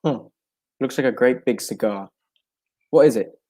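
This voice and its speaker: An actor playing a detective's loyal, polite gentleman companion, mildly questioning, in an old-style radio drama. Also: medium pitch, measured pace, natural voice. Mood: curious. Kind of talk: 1940s radio drama acting.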